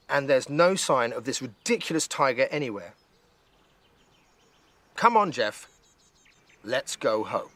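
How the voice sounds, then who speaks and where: A man voicing a stubborn, sceptical little girl in a storybook, with an emphatic, expressive manner. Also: medium pitch, dry recording, voice pushed up.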